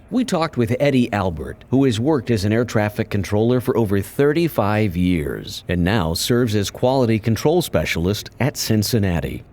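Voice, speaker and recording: A man narrating a scripted introduction as host, with a smooth, even delivery. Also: lowish voice.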